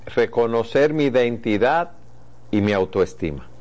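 A man's voice: mid-range voice, animated, a little slow, a little mellow, dull audio. A man in a conversational faith discussion, voicing his belief in short, affirming statements.

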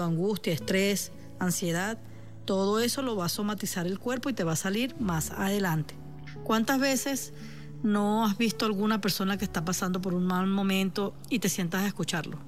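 A woman's voice: mid-range voice, moderate pace, faint music behind. Reassuring Venezuelan woman therapist. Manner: calm, reassuring, addressing the listener directly. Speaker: therapist on radio. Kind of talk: supportive radio talk.

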